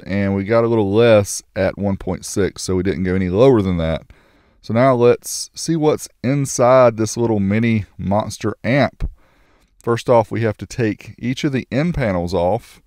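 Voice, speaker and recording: Low-voiced man narrating an amp test. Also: a little mellow, no echo, clean studio sound. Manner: matter-of-fact, technical, casual. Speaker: car audio reviewer. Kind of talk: product review and test narration.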